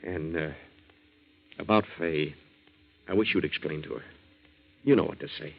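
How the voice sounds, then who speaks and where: A man's voice: very deep voice, normal speed, vocal fry, full character voice. An actor playing a blunt, slangy, hot-headed young waterfront man with a decent heart in a 1940s radio drama. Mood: resigned, earnest. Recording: dull 1940s radio recording.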